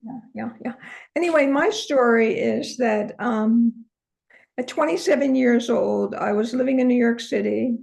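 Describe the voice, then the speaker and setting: An older woman with a hoarse voice, telling her personal story as an interview guest. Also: a little high, a little slow, a little mellow. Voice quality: hoarse, from a vocal cord injury. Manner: earnest storytelling, many ums. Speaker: interview guest, holistic practitioner.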